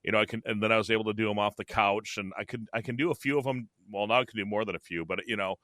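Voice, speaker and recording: Bright-voiced man in his fifties. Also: lowish voice, steady tone, rapid-fire, no room sound, clean studio sound. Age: 50s. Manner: rambling, repeats himself. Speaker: podcast co-host. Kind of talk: casual chat.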